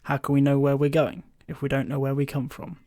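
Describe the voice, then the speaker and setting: A man's voice: mid-range voice, monotone, brisk, mellow, no room sound, studio quality, natural voice. A man playing an inquisitive history podcast host from a post-apocalyptic future, in a scripted interview style. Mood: measured, presenting.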